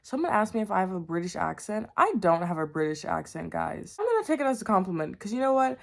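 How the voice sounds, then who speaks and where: Brief: young woman vlogger, slightly low voice, bubbly, rambling chat. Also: sing-song, quick pace, gravelly. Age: young adult. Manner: bubbly, rambling, chatty with viewers. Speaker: writer vlogger. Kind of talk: casual chat to camera.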